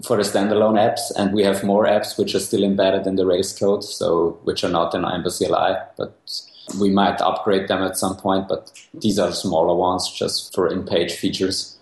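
A man's voice: low voice, level tone, moderate pace, darkish tone. A young man from Austria, a guest developer talking shop in a casual interview, hesitant with many restarts and fillers. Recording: echoey room.

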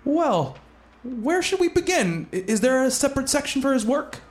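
A man playing an earnest, bookish doctor and devoted fiancé in a radio play, speaking in a measured, literary way. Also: extremely high pitch, very animated, heavily acted. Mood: hesitant, affable. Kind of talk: radio play narration and dialogue.

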